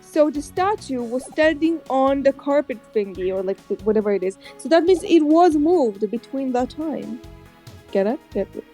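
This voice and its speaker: A woman chatting casually and excitedly with friends while they play a video game. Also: high voice, normal speed, faint music behind. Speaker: co-player. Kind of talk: casual gaming chat.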